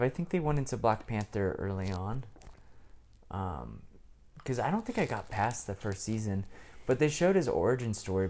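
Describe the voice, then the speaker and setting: A man's voice: lowish voice, darkish tone. A man in casual chat, retelling cartoon plots at length with many ums and restarts.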